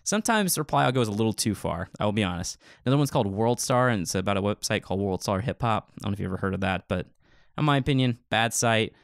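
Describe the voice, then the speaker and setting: A man's voice: on the low side, expressive, quick pace, creaky, no room sound, studio quality. A man co-hosting a podcast in casual chat, telling stories in an informal, filler-heavy way. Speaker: podcast co-host.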